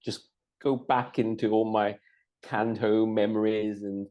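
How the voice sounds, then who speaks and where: A middle-aged man, born in Hong Kong, chatting casually, hesitant with lots of ums and restarts and quick to laugh. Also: lowish voice, level tone, dull audio. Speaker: co-host. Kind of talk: casual chat.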